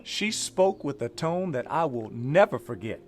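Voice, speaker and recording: American male storyteller, encouraging and emphatic, very lively delivery over music, for a children's read-along. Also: mid-range voice, measured pace. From Louisiana, USA. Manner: encouraging, emphatic, drawing listeners to join in.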